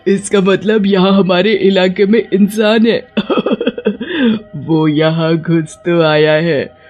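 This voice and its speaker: A man's voice: high-pitched, a little mellow, muffled recording. A man voicing a gloating, hungry female witch who hunts humans in a horror cartoon. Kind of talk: cartoon horror story dialogue.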